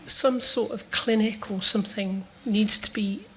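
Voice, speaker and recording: Brief: woman in the audience, halting and hesitant, mid-pitch, asking a panel. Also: fairly even intonation, sharp tone, husky. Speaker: audience member asking a question. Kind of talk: spontaneous question at a panel discussion.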